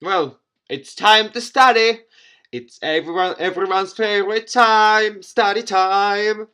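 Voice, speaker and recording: A man's voice: high voice, slow, pushed and bright, clean tone. A young man hosting a gaming video, reading visual novel narration aloud with asides and stumbling over repeated words. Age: young adult. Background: music.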